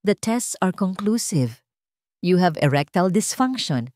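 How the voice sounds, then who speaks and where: A woman's voice: on the low side, very animated, unhurried, darkish tone, no echo, clean studio sound. A Filipino woman voicing a formal, sympathetic doctor with a clinical manner in a story narration. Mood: grave, sympathetic. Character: male doctor, professional, sympathetic, measured.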